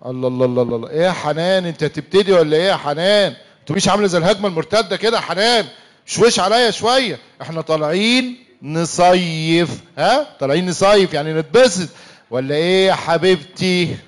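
An easygoing young husband with an Egyptian accent, played by a man in a radio drama who keeps protesting his innocence in exasperation. He talks very fast in a fairly high voice. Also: natural voice. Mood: teasing. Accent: Egyptian Arabic. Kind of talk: church radio comedy-drama acting.

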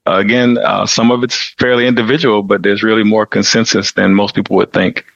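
A man's voice: on the low side, little pitch movement, brisk, gravelly, a bit bright, no echo. A man chatting casually as a podcast host. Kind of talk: casual panel chat. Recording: dull, lo-fi sound.

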